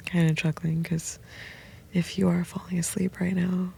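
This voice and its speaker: A woman whispering soft, meandering, intimate late-night rambling from the floor of a small sound booth. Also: lowish voice, vocal fry, slight background noise. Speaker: host.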